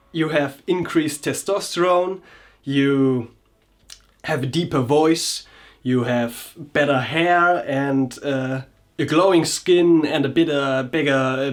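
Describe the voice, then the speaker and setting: A man talking through his personal experience in a reflective vlog, hesitant and often restarting his sentences. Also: medium pitch. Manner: hesitant, searching for words, frequent restarts. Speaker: vlogger and coach.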